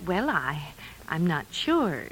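A fretful grandmother in a 1950s radio drama. She speaks solicitously and haltingly, her pitch moving up and down a lot. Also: very animated, a little hiss or hum, low-quality recording. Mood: hesitant, uneasy. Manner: solicitous, hesitant. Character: concerned, fretful mother of a grown daughter, a grandmother.

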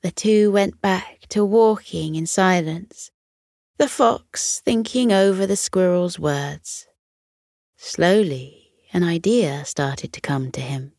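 A woman narrating a scripted children's bedtime story in a gentle, soothing manner. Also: lowish voice, takes it slowly, no echo, clean studio sound.

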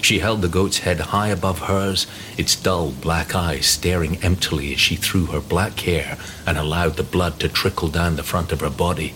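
An actor playing a grim narrator of a dark horror story in a scripted reading. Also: male voice, fairly even intonation, moderate pace, poor audio. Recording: faint rumbling noise behind.

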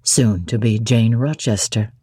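A woman voicing a middle-aged gentleman lover in a classic novel, tender, teasing and commanding. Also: a bass voice, a little slow, slightly rough, no echo, studio quality, pitched below the performer's own voice, exaggerated voice. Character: deep-voiced adoring masterful gentleman lover, middle-aged. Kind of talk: dramatic classic novel reading.

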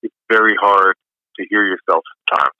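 A man with a low voice, giving easygoing, rambling interview answers full of fillers. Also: brisk, bright, forceful tone, no room sound. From New York. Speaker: musician guest. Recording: dull lo-fi dry sound.

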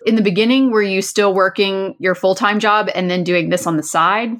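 A woman's voice: medium pitch, fairly even intonation, moderate pace, fairly bright tone. A woman hosting a podcast, asking a guest questions in a friendly, encouraging way. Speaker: podcast host, interviewer. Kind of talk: casual interview questions.

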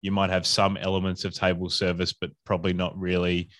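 A man's voice: low-pitched, completely flat delivery, a little fast, a bit bright. A man with an Australian accent, a podcast guest talking casually and upbeat, with lots of fillers and restarts. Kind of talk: casual conversational interview.

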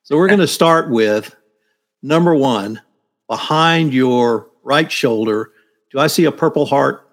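A man's voice: mid-range voice, unhurried. A man in his sixties hosting a podcast interview and asking questions in a friendly, conversational way. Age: 60s. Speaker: podcast host.

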